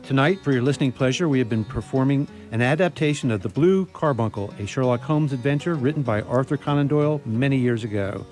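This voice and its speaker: A man's voice: medium pitch, darkish tone. A man announcing a radio troupe's credits live in a formal, wry manner, with guitar music behind him. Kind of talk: scripted credits read live, with wry humour.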